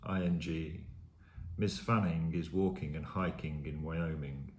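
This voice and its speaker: A man in his fifties from Staffordshire with a mild Birmingham accent, answering interview questions in a thoughtful, measured way with pauses. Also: rumbling low, fairly even intonation, a little hiss or hum. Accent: mild Birmingham (Brummie). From Staffordshire, England. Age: 50s. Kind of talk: reading word lists and answering interview questions. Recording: roomy echo.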